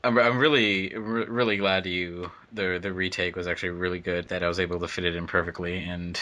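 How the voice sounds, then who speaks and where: Low but bright sharp guy chatting casually over an episode, fandub crew. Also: level tone, brisk. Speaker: fandub production crew commentator.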